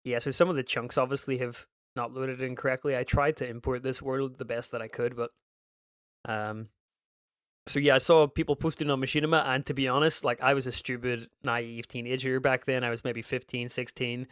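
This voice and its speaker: A man's voice: medium pitch, fairly even intonation, brisk, fairly bright tone, clear, resonant tone, no echo, studio quality. A man in his thirties with a Northern Irish accent carrying a slight American twang, giving casual, meandering gameplay commentary as a gaming YouTuber. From Northern Ireland. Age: 30s. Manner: meandering and reminiscent, frequent restarts.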